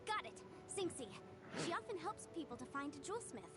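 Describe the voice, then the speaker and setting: A woman's voice: high voice, big pitch swings, brisk, a bit bright, faint music behind. A woman voicing a confident, breezy young female legal advisor in a video game, speaking in precise formal phrases with playful asides. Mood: breezy, self-assured.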